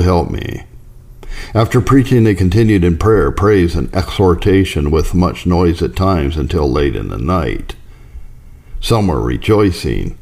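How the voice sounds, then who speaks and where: A man reading a historical religious account as a scripted narration, in a measured, formal manner. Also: sits low, creaky, a little mellow.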